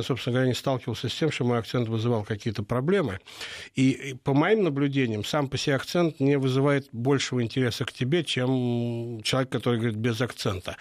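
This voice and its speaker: A man's voice: medium pitch, dry recording, clean studio sound. A middle-aged man giving interview answers, talking with hesitations, frequent fillers and restarts. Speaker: interview guest.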